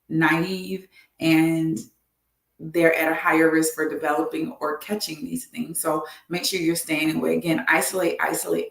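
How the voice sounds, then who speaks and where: A woman's voice: deep voice, gravelly, reverberant. A woman doctor hosting a live health talk, earnest and repeating her advice for emphasis.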